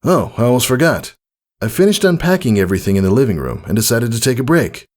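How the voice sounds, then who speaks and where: A man's voice: medium pitch, very animated, fast talker, darkish tone, pitched above the performer's own voice. Man playing a kindly, easygoing pony dad. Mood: warm, proud. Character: kindly, easygoing adult father pony, composed and encouraging.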